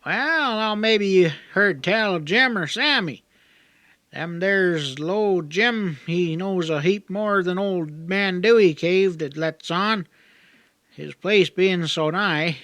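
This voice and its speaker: A man's voice: sits high, slow pace, a bit bright, no echo, voice pushed up. A man voicing a talkative, naive young backwoods native in an audiobook, with a rural Southern accent and a folksy, rambling drawl. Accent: rural Southern hillbilly. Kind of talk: audiobook character dialogue.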